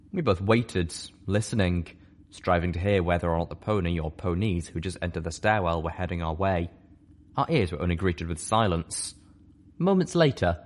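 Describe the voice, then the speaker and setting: A man narrating a fan fiction audiobook with an expressive, animated delivery. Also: deep voice. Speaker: audiobook reader.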